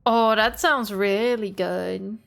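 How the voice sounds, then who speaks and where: A woman's voice: a little high, creaky, a bit bright. Playful woman streamer. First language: Dutch. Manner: chatty, playful, greets chat. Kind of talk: live gameplay commentary.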